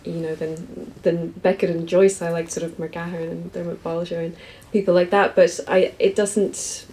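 Hesitant Cork woman with a dark voice. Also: lowish voice, little pitch movement, normal speed, poor audio. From East Cork, Ireland. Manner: hesitant, self-deprecating, many restarts and laughs. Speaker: novelist, interview guest. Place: bookshop event.

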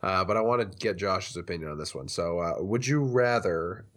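A man's voice: low-pitched, moderate pace, a bit bright. A man co-hosting a casual podcast, playfully putting questions to his friends. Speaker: podcast co-host asking the questions. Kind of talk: casual chat.

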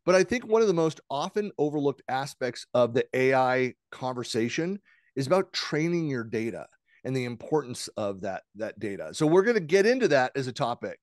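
A man of middle pitch hosting a podcast. He talks loosely and rambles, often restarting his sentences. The sound is dry and close, with no room echo. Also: very animated, a little fast. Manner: chatty, rambling, many restarts. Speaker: podcast host. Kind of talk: podcast interview, conversational.

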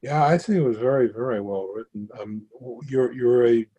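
A man's voice: medium pitch, normal speed, mellow, breathy edge, highs cut off. A man chatting casually as he gives feedback and asks questions of a writer. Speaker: participant giving feedback to a playwright. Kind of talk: casual discussion.

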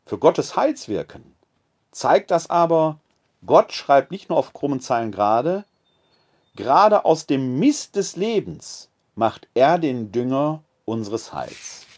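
Priest guy preaching real slow and thoughtful, podcast sermon. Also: medium pitch, big pitch swings, a little mellow.

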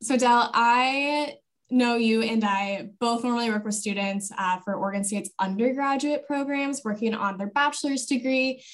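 A woman's voice: a little high, moderate pace. A woman with an American accent co-hosting a podcast in a friendly conversational style. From Rocky Mountain foothills. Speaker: podcast co-host, admissions advisor.

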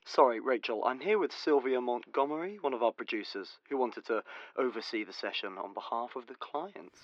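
A man plays a courteous studio director in a radio drama, full of reassurance. His pitch is medium, and the recording is dry and a little dull. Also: fairly quick, dry recording, lightly acted. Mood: reassuring, brisk. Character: polite, reassuring male session director.

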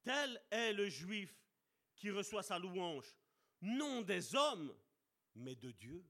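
Guy preaching a sermon, bright tense voice, gets fired up and drags out words for emphasis. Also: high-pitched, sing-song. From Italian heritage. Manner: impassioned, addresses congregation as brother and sister; slows down to stress words.

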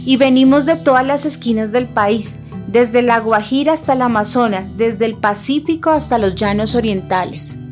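A woman's voice: on the high side, moderate pace, few pauses, soft background music. A woman in her thirties with a Bogotá Colombian accent, a native Huitoto speaker, narrating a scripted personal audio documentary. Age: 30s. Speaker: narrator and host.

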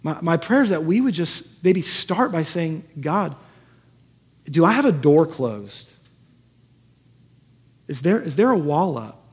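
A man's voice: a little high, big pitch swings, slow, long pauses, slightly rough. A man preaching as a pastor in a conversational sermon, earnest and given to emphatic repetition. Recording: echoey hall with long reverb.

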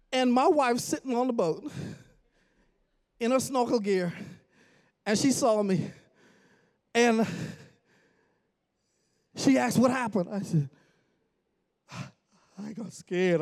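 Animated high-voiced preacher from the Bahamas. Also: male voice. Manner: animated, jokes with congregation, bursts into shouts. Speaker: pastor. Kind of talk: storytelling sermon. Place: church sanctuary.

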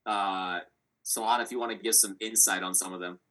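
A man hosting a casual sports podcast, talking through competitors with a steady, analytical manner and frequent fillers. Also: lowish voice, brisk, poor audio. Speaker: podcast host.

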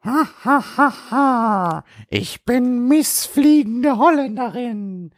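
A man doing a shrill cackling ghost lady. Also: lively intonation, slow, heavily acted. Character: cackling female ghost from a shopping list.

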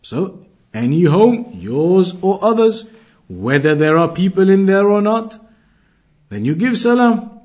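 A man teaching an Islamic lesson, explaining in a deliberate way and repeating his points. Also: high-pitched, sing-song, darkish tone. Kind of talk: religious lesson, reading Arabic then explaining.

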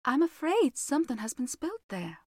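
A woman's voice: high-pitched, big pitch swings, moderate pace, dry recording, clean studio sound, pitched above the performer's own voice. A woman voicing a prim, fussy American wife who exclaims at small troubles. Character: well-to-do American wife, fussy and easily shocked.

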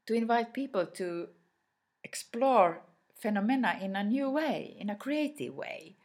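A native Swedish-speaking woman gives an unscripted motivational talk, addressing the listener directly. Her voice sits a little low, and she speaks very slowly. She is reflective and often pauses partway through a phrase. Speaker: inspirational speaker.

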